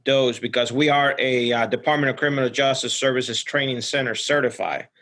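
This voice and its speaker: A man's voice: mid-range voice, steady tone, fairly quick, a bit bright. A man from Ecuador talks in a mid-range voice as a guest on a podcast. He is earnest and plainspoken, keeping a casual conversational style. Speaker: podcast guest, security professional. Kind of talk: casual interview chat.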